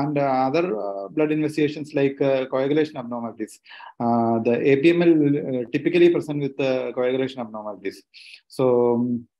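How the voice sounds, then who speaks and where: A man in his thirties with an Indian accent, a doctor explaining haltingly as a panelist on a video call. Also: medium pitch, dark tone. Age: 30s. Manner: halting, hesitant delivery with frequent pauses. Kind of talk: explanatory medical panel answer. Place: small room indoors. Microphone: laptop on a video call. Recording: dull lo-fi video call audio.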